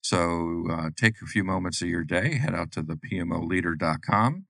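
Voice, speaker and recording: Deep-voiced man hosting a podcast. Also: normal speed, vocal fry, a bit bright, dry recording, studio quality. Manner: upbeat, promotional, with frequent uhs. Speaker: podcast host. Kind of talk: podcast host reading sponsor notes and interviewing.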